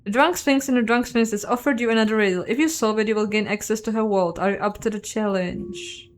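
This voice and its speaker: A woman's voice: medium pitch, fairly even intonation, brisk. A woman giving casual playthrough commentary on a game, musing aloud and questioning herself. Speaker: game streamer and narrator.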